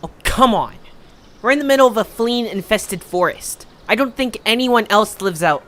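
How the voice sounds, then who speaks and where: A woman's voice: on the high side, animated, normal speed, a bit bright. A woman playing a cocky, dismissive little creature in an audio story. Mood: scoffing. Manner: scoffing, swaggering.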